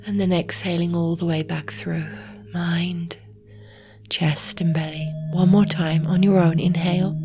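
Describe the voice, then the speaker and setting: A woman leads a guided relaxation in a low, even voice. She speaks softly and slowly, often close to a whisper, leaving long pauses. Meditation music with singing bowls plays clearly behind her. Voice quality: soft, breathy, often near whisper. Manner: slow, soothing, with long pauses. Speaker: yoga nidra meditation guide.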